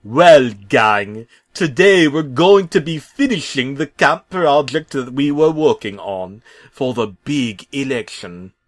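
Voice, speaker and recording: A man doing a shouty cartoon builder with a British accent. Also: mid-range voice, expressive, voice pushed up. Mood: cheery. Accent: put-on British. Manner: loud, shouty and drawn-out. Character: cheerful cartoon builder turning ranting fanatic.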